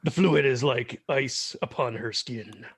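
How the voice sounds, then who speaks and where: A man playing a somber noir narrator, reading grim comic-book captions in a measured, theatrical way. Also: mid-range voice, husky. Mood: ominous.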